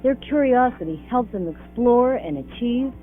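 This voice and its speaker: A woman's voice: a little high, animated, normal speed, close to an everyday voice. A woman playing an earnest youngster who explains things carefully in an educational film drama. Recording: tinny 1980s 16mm film soundtrack, music.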